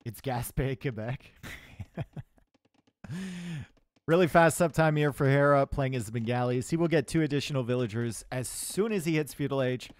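A man's voice: medium pitch, moderate pace. A man with a Canadian accent giving chatty, joking live commentary on an esports match, with dry sarcasm. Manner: loud excited shouting in tense moments. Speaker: esports caster. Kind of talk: live game commentary with chat banter.

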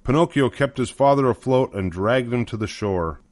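A man's voice: mid-range voice, animated, moderate pace, darkish tone, no room sound. An older man narrating a children's storybook from a script.

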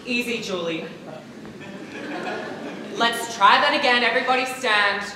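A man's voice: very high-pitched, unhurried. A teenage boy acting an exasperated, controlling director of a school musical, speaking emphatically on a theatre stage. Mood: commanding. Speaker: student stage actor. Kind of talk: scripted stage comedy acting. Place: large school theatre stage, audience present. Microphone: far camera in audience. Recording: echoey, noisy auditorium stage recording.

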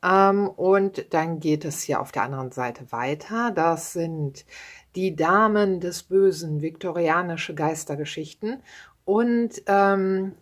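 A woman in her thirties chats about her reading pile in a room at home. She talks slowly in a lowish voice. She fills her sentences with hesitations and tag questions and laughs at herself now and then. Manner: chatty, many fillers and tag questions, self-deprecating laughs. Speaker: book vlogger. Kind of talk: casual book chat. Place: home room with bookshelves, indoors.

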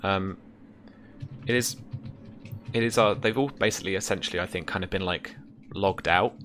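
A young man casually narrating as game master and explaining rules, in a hesitant, halting way, on a video call. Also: low voice, a bit bright. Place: indoor room at home, over video call. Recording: faint background noise.

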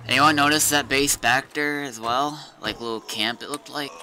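A man's voice: mid-range voice, steady tone, normal speed, bright, forceful tone, a little hiss or hum, poor audio. A man chatting casually with teammates during an online survival game. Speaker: player in a zombie survival roleplay game.

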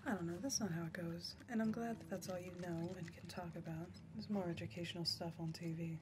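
A young woman playing an uneasy, politely pushy rural woman in a soft-spoken, hesitant ASMR roleplay. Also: fairly low pitch, steady tone, a little fast, close to an everyday voice. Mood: deadpan. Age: young adult. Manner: hushed, hesitant, murmuring. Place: dim indoor room. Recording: close recording with music behind.

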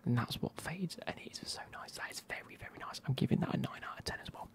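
A man, reviewing football kits in a soft, casual whisper with wry asides. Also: fast.

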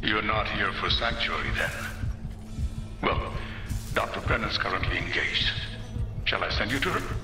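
An actor playing a fanatical, soft-spoken villain doctor in a video game, speaking in a theatrical, measured, politely menacing way. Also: male voice, fairly low pitch, over music, natural voice. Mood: polite menace. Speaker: mad scientist villain. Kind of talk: video game cutscene acting.

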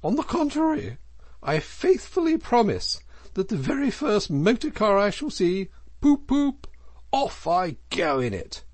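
A storybook toad gentleman, pitched very high and swinging widely. He is conceited, excitable and stubbornly unrepentant, gushing with emphatic self-satisfaction. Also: unhurried, dry recording, full character voice. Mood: gleeful, emphatic. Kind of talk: storybook character voice.

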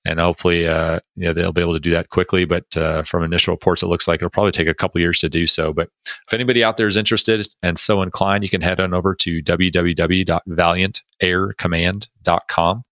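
A man's voice: low voice, flat delivery, fast talker, fairly bright tone, no echo. A man cohosting an aviation podcast, chatty and upbeat, with a few jokes between topics. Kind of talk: podcast monologue and interview.

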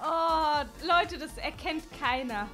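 A woman's voice: high voice, slow. A young woman, exclamatory and self-mocking in casual game chat. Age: young adult. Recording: loud music behind the voice.

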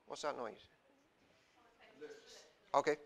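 A man's voice: slow, a bit bright. A young man from Wales giving a chatty, self-deprecating sermon as a visiting preacher in a small church, on a lapel mic. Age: young adult. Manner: gestures freely while talking. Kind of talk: informal sermon. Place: small church hall, indoors.

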